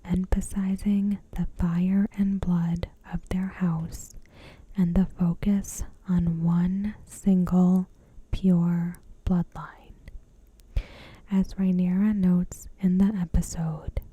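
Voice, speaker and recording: A woman speaks in a soft, breathy whisper, very mellow and dark in tone. She delivers ASMR commentary slowly and deliberately, with gentle, measured phrasing. The sound is close and dry. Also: lowish voice, no room sound, highs cut off. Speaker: video host.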